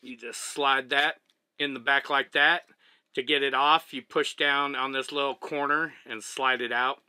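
Bright-voiced Texan trucker demoing gear. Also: male voice, mid-range voice, a little slow, dry recording. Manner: relaxed, chatty, explains step by step while handling gear. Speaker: vlogger. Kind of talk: casual gear demonstration. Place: small living cabin of a truck, indoors.

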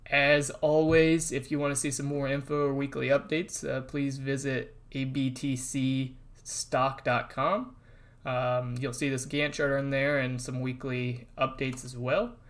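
Man, mid-pitched, casual voice-over narration, relaxed explaining, lots of ums and uhs. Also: unhurried. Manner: relaxed, explanatory, with frequent fillers. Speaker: construction update host. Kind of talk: casual narrated site update over drone footage. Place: voice-over, not recorded on site.

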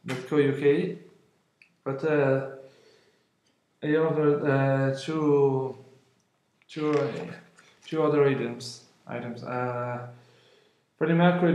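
A man in his twenties from Italy, speaking English with an Italian accent, unboxing an album at home, halting with many fillers and apologies. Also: mid-range voice, steady tone, a little mellow, reverberant, lo-fi sound. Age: 20s. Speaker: vlogger. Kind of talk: casual unboxing vlog. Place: room at home, indoors. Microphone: webcam at arm's length.

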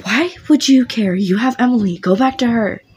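A woman playing a hurt young woman who snaps back in short, defensive lines and begs to be left alone. The voice is husky and mellow. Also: on the high side, moderate pace, voice pushed up. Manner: curt, pleading to be left alone.

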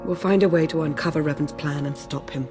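An actress playing a composed woman agent who speaks in a calm, steady way. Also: low-pitched, fairly quick, over music, close to an everyday voice.

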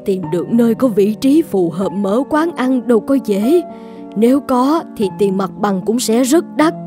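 A woman voicing a motherly older eatery owner in a cartoon, caring and gently insistent. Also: on the high side, animated, measured pace, over music. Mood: worried. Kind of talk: animated moral-tale dubbing.

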